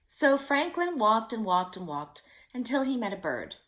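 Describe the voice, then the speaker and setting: A woman's voice: fairly high pitch, big pitch swings, moderate pace, a bit bright. A woman storyteller reading a picture book aloud expressively, with simple asides addressed to young children.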